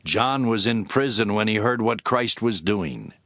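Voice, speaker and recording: A man's voice: fairly low pitch, lively intonation, unhurried, a bit bright, dry recording, studio quality. A man narrating scripture from a script, as the narrator of an audio drama.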